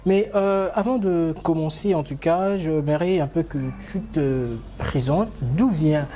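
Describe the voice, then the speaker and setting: A man's voice: a little high, unhurried, mellow, a little hiss or hum. A man hosting a TV interview show, rambling with many fillers and restarts. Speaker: TV show host. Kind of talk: casual TV interview.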